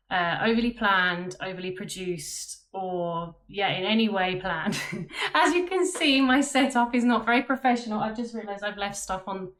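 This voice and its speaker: A woman's voice: mid-range voice, fairly bright tone. Young woman, chatty, self-conscious, casual vlog from her bedroom. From London, England. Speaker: vlogger and web developer. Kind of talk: casual talk to camera. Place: bedroom at home.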